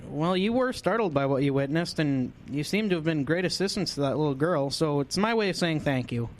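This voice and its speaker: A woman's voice: low-pitched, animated, brisk. A woman playing a friendly, practical small-town coffee shop server in a radio drama.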